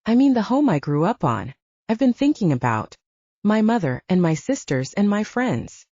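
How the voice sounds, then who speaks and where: A woman reads as a pregnant human heroine in an audiobook, earnest and careful. The voice is mellow with lively rises and falls, recorded dry. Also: mid-range voice, moderate pace, no room sound, dull audio. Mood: careful, homesick.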